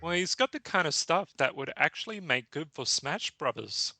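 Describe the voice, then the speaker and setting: A man's voice: fairly high pitch, lively intonation, moderate pace, pushed and bright, no echo. A man hosting a casual podcast chat, laughing often and reading out game text. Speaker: podcast host.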